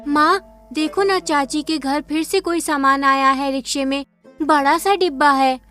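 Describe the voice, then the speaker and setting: A man's voice: very high-pitched, steady tone, a little slow, sharp tone, faint music behind, voice pushed up, heavily acted. A man voicing a curious, eager little village girl in a cartoon story, asking and pleading in a childlike way.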